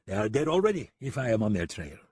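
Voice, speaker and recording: A man's voice: lowish voice, very animated, quick pace, a little mellow, slightly rough. A man voicing a mocking, sly French gunman with a French accent in a western audiobook. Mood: mocking, assured. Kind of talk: western audiobook character acting.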